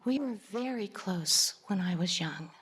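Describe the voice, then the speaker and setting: A soft-voiced older woman reads with an unhurried, gentle pace. Her voice sits in an ordinary middle register. She speaks as a poet sharing her work at a live reading. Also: medium pitch, animated, husky. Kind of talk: poetry reading with spoken introduction.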